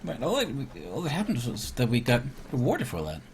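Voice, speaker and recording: Animated male game streamer over background noise. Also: medium pitch, a little fast. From Iceland. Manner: thinks aloud, mutters to himself, mild swearing. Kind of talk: casual live gameplay commentary, reading game text aloud.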